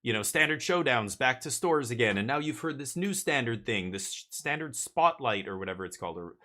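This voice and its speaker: A man's voice: medium pitch, lively intonation, a little fast, bright, forceful tone. A man from Canada chatting casually on a live stream while gaming, opinionated and blunt, swearing and stumbling over repeated words as he rants.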